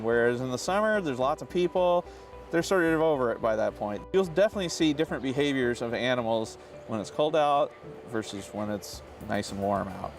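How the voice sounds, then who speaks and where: Man explaining zoo winter care. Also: medium pitch. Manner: explanatory, conversational. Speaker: zoo staff member. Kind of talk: interview answers.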